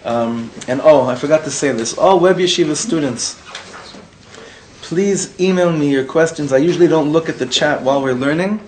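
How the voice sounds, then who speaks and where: A rabbi teaching a casual class to live students, animated and informal, dropping Hebrew and Yiddish words into his talk. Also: on the high side, normal speed, slight background noise, low-quality recording. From Israel. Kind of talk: casual spoken Torah class.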